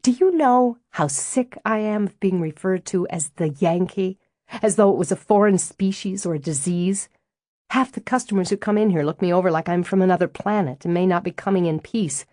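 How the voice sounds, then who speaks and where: A woman voicing a brisk, buttoned-up office manager who talks in crisp, dry, clipped lines. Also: lowish voice, a little mellow, dry recording, close to an everyday voice. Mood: exasperated.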